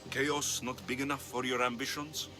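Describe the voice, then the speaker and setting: A man's voice: mid-range voice, little pitch movement, moderate pace, music in the background, close to an everyday voice. A man playing a wry, hard-bargaining mercenary in a video game, with a Greek accent and a dry, sardonic manner. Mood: dry, businesslike. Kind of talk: video game cutscene acting.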